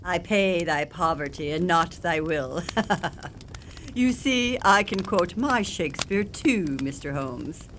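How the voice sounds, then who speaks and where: A woman's voice: on the low side, expressive, takes it slowly, fairly bright tone. A woman plays a haughty lady of title in an audio drama. She is imperious and teasing, with widely swinging intonation and faint noise behind her. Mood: imperious, sly. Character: haughty, sharp-tongued aristocratic lady plotting a prank. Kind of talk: audio theatre acting.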